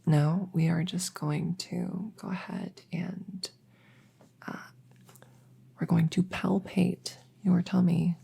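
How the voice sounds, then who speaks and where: Woman playing a breathy, creaky, soft-spoken witchy vet. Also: on the low side, steady tone, heavily acted. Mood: playful, reassuring. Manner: gentle, chatty, halting, teasing. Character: friendly, goofy, reassuring human witch werewolf vet. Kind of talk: soft ASMR audio roleplay.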